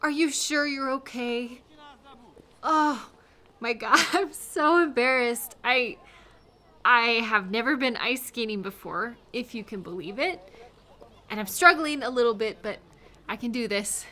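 Bright-voiced, nervous young woman, acted by a woman. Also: a little high. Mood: flustered, apologetic. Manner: halting, self-talking, giggly and apologetic. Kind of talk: intimate audio roleplay acting.

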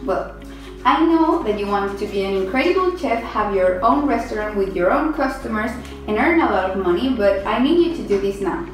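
A woman's voice: mid-range voice, animated, moderate pace, soft background music, natural voice, poor audio. Teen girl playing a bossy TV cooking show host. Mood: firm. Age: teens. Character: bossy, blunt mother hosting a TV cooking show. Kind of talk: amateur scripted TV show acting. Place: home kitchen and bedroom.

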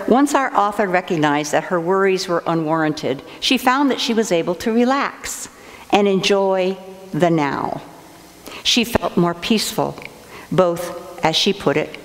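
Older woman preaching slowly with gravelly voice. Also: fairly low pitch. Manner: measured, deliberate preaching at a lectern. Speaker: assistant pastor. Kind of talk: sermon. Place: church sanctuary, indoors. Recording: long reverb of a church sanctuary.

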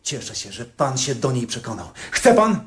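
A man playing an earnest, eccentric poet in a radio comedy, husky, hesitant and excitable, flustered yet proud of his verse. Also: mid-range voice, normal speed, a little hiss or hum. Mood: proud, eager. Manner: hesitant, excitable, musing aloud. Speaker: actor in a radio play. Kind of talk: theatrical radio comedy dialogue.

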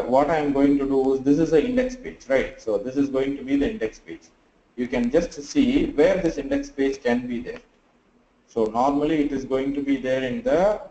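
Tired guy teaching over a call, lots of uh and so, mellow voice, roomy muffled audio. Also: medium pitch, a little hiss or hum. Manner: halting, thinks aloud with many fillers. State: sleepy and tired. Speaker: online class instructor. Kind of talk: live screen-share tutorial.

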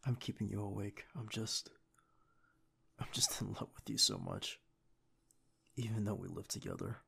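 A young man playing a devoted secret agent boyfriend in an audio roleplay, with a husky, gravelly voice and an earnest manner. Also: on the low side, huge pitch swings, moderate pace, pushed and bright, heavily acted. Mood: hushed, loving. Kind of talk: ASMR boyfriend roleplay acting.

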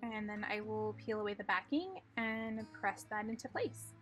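Bright-voiced woman narrating a craft tutorial. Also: medium pitch, normal speed, soft background music. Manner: calm step-by-step explaining. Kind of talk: voice-over card-making tutorial.

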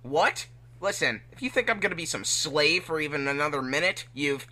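A man's voice: on the high side, expressive, moderate pace, bright, forceful tone, exaggerated voice. A man voicing a cocky, indignant young hedgehog hero in a fan-fiction reading, casual and complaining.

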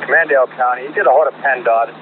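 An American man in brisk emergency responder traffic over two-way radio. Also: medium pitch. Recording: tinny, noisy two-way radio.

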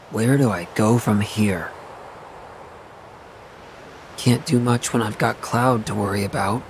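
An actor playing an earnest, caring young soldier on the run in an English video game dub. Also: male voice, medium pitch, very slow, pauses often, slight background noise. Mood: worried, weary.

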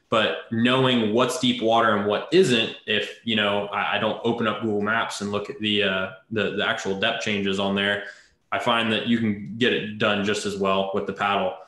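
Bright-voiced young man in echoey room. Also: lowish voice, normal speed. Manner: relaxed, rambling explaining. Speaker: fishing coach. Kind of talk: casual panel chat. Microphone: video call.

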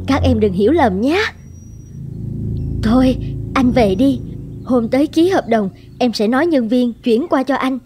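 A cartoon drama sister-in-law with a highish voice. She is an anxious schemer hiding an affair, polite and pleading in manner, over clear background music. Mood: feigned casualness. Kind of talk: Vietnamese animated drama dubbing.

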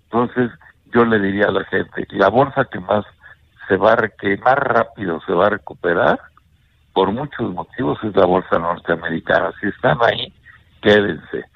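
An older man with a Mexican accent, a bank executive giving measured investment advice on a radio interview, often ending points with a questioning tag. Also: on the low side, vocal fry, slightly rough, narrow, tinny audio. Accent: Mexican Spanish.

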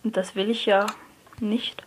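A young person, likely a teen, giving hesitant gameplay commentary, often correcting themselves and dropping to a near whisper. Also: female voice, mid-range voice, flat delivery, a little slow. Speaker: let's player. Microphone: phone screen recorder mic. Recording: noisy lo-fi phone recording.

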